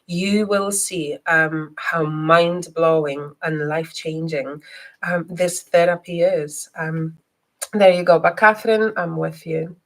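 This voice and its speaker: Slow, hesitant woman with a faint Scottish twang. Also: sits low, steady tone. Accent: non-native English with a slight Scottish twang. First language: not English. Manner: hesitant, full of ums and restarts; soft, slow guiding voice during the reading. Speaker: psychic reader and live stream host. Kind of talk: live stream chat and guided reading.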